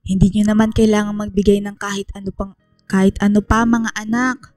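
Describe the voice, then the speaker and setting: An actress playing a kind, patient, motherly schoolteacher in a radio drama, speaking gently and deliberately. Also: medium pitch, little pitch movement, dry recording, clean studio sound, soft background music, close to an everyday voice.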